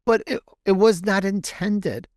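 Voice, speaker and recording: A man's voice: high-pitched, steady tone, measured pace, darkish tone, dry recording. Man chatting casually, dry close sound. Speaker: podcaster and author guest. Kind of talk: casual interview talk.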